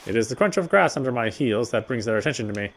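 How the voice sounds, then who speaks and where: A man's voice: mid-range voice, lively intonation, quick pace. A man narrating a visual novel aloud in a dramatic storytelling style as he plays. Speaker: gamer reading the game text aloud. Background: faint game music.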